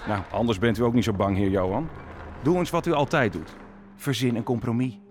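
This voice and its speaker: An actor playing a young, confident prince and army commander, assertive and dismissive, in a radio drama. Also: male voice, medium pitch, fairly quick, darkish tone, slight background noise, close to an everyday voice. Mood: impatient, assertive. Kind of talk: Dutch radio drama acting.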